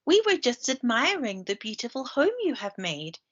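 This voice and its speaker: A woman voicing a small, polite, eager dormouse with a squeaky voice in a children's storybook. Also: big pitch swings, normal speed, fairly bright tone. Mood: admiring, pleading. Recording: dull lo-fi screen-share recording.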